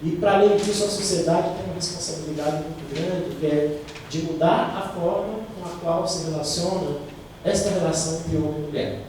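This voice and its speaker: A man's voice: a little high, steady tone, brisk, darkish tone. A man answering students' questions, explaining at length and often checking in with tag questions. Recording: echoey room, mic far off, faint noise.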